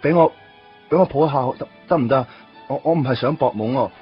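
A man's voice: mid-range voice, fairly quick, slightly rough. An actor playing a timid, earnest young man in a radio play, speaking Hong Kong Cantonese and stammering over his words. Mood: shy. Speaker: supporting character in a radio play. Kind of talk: 1990s radio drama acting. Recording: dull lo-fi radio recording with music.

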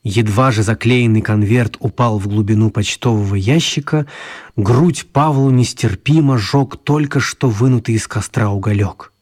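A man's voice: fairly low pitch, moderate pace, a little mellow. A man narrating a children's radio story in a scripted storytelling style.

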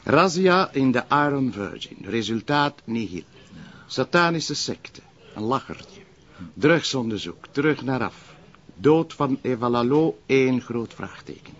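An actor playing a dry, sceptical middle-aged police brigadier with a Flemish accent and a measured, deadpan delivery. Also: male voice, mid-range voice. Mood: deadpan, discouraged. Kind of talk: naturalistic television crime drama acting. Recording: dull audio with faint background noise.

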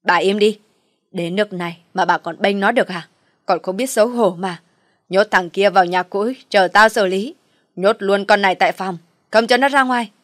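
Woman plays domineering middle-aged male patriarch, gruff and irate, audio drama, dry sound. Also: lowish voice, fairly even intonation, fairly quick, a bit bright, no echo. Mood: furious.

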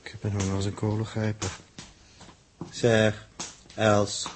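A man's voice: on the low side, completely flat delivery, slow. A man acting a sardonic, complaining space test crewman in a 1960s Dutch radio drama. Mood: pondering. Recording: noisy vintage 1960s radio recording.

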